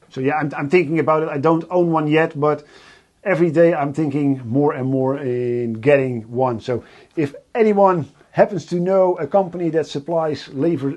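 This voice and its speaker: A Dutch man with a Dutch accent, hosting a casual live stream from his workshop and talking in a halting way with many fillers and restarts. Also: mid-range voice, darkish tone. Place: home workshop.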